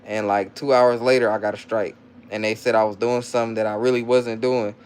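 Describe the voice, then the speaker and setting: A man's voice: fairly low pitch, little pitch movement, brisk, a little mellow, clean tone. A young man talking loosely on a livestream, reading chat comments aloud and answering them in rambling slang with lots of restarts. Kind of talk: casual live-stream chat.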